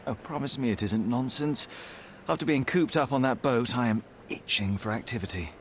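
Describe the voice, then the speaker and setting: An actor playing a young, logical detective in a video game, dry, precise and dismissive. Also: male voice, mid-range voice, normal speed, fairly bright tone, slight background noise, natural voice. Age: young adult. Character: young Sherlock Holmes, cool, logical, dry. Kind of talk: video game cutscene voice acting.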